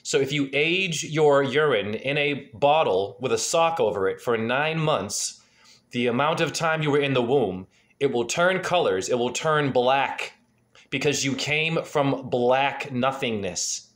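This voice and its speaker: A man in his forties talks in a mid-pitched voice over a webcam call from a dim room at home. He gives a freewheeling, earnest reading and keeps asking probing questions. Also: animated, measured pace, fairly bright tone. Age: 40s. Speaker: spiritual numerology reader. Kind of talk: casual video-call name reading. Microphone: webcam on a video call.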